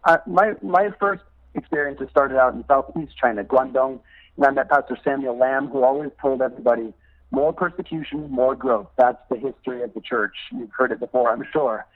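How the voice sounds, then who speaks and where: A middle-aged man calling in as a missionary guest, telling stories in a lively, animated way and laughing often. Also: mid-range voice, a little fast. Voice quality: laughs often while talking. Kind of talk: phone interview, storytelling. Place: calling in from abroad. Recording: choppy phone line.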